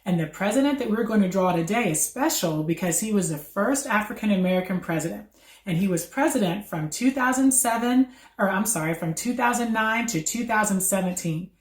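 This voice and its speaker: A woman's voice: mid-range voice, expressive, fairly quick. A woman hosting a children's activity, quizzing a child and encouragingly confirming each answer. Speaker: host of a children's read-aloud. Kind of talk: educational chat, quizzing a child. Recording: echoey room.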